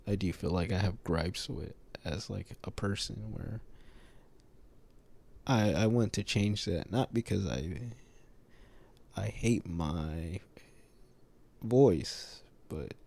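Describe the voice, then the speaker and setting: Low, gravelly male vlogger, slightly nasal, hesitant delivery with lots of "um" and "like", mellow tone. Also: no room sound. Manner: halting, many fillers and pauses. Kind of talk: casual reflective monologue.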